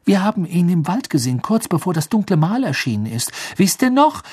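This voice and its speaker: A bossy, shrill teenage girl in an audiobook, acted out by a man. The voice sits high and swings widely, insistent and argumentative. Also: wildly swooping pitch, normal speed, husky, exaggerated voice. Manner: emphatic, argumentative.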